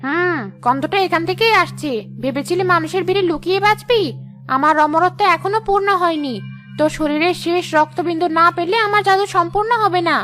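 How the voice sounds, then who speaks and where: A woman's voice: extremely high pitch, a little fast, a bit bright. A woman voicing a menacing, taunting witch in a cartoon fairy tale. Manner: threatening, taunting. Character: menacing female witch hunting a fairy child. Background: music.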